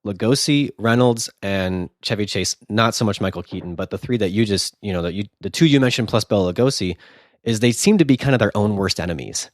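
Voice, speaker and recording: A man's voice: fairly low pitch, dry recording, clean studio sound. A man in his thirties co-hosting a movie podcast, bantering casually with jokes and fillers, still getting over a cold. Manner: quick jokey banter, filler words. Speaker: podcast co-host. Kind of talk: casual chat.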